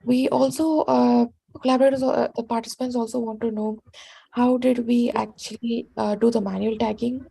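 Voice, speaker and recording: A woman's voice: on the high side, flat delivery, moderate pace, creaky, warm tone, breathy edge, rough recording. A woman moderating an online question session, polite and formal, with some fillers. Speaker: webinar moderator.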